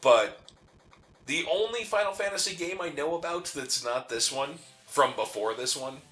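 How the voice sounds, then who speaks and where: A man chatting casually as co-host of a video game playthrough, telling stories in a rambling, animated way. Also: fairly high pitch, moderate pace, soft background music. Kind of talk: casual chat over gameplay.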